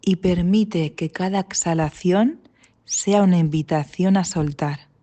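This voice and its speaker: Slow, low, mellow Spanish woman guiding meditation. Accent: Spain. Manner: calm, gentle, instructional with long pauses. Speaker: guided meditation instructor. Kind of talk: guided mindfulness body scan meditation.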